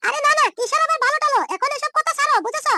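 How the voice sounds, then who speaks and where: Squeaky helpful woman, played by a man, practical, matter-of-fact, rapid, chipmunk effect, comedy cartoon. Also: bright, forceful tone, no echo, clean studio sound, full character voice. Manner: matter-of-fact, brushing off thanks. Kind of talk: amateur comedy cartoon dubbing.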